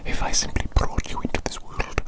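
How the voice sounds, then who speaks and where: A woman voicing an evil, doting mad doctor in a breathy ASMR whisper, with formal, repetitive phrasing. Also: natural voice. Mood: doting, theatrical. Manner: slow, repetitive, formal old-fashioned phrasing. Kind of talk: whispered ASMR medical roleplay.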